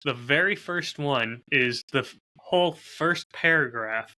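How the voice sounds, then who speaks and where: Bright, forceful man chatting on a podcast. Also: mid-range voice, measured pace. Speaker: podcast host and audio drama creator. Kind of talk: casual podcast chat.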